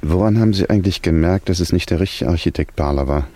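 Curious, extremely deep-voiced man. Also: fast, warm tone, no echo. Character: attentive, curious listener questioning the detective. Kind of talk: 1960s German radio drama dialogue.

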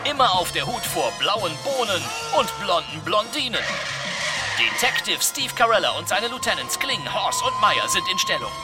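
A man's voice: high voice, big pitch swings, moderate pace, over loud music, voice pushed up. A man parodying the grandiose, dramatic announcer of a vintage American cop series intro from the 1960s. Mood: bombastic. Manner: bombastic, dramatic.

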